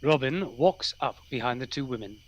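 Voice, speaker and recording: A man's voice: medium pitch, moderate pace, highs cut off, natural voice. A man narrating a radio play as a wry storyteller, in scripted style. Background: faint music.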